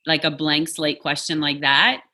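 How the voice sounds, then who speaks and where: A woman's voice: deep voice, fairly even intonation, bright, forceful tone, husky. A woman who immigrated from Poland and grew up in New York, earnestly talking through her identity as a podcast guest. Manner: thoughtful, earnest, measured. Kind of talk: casual interview chat.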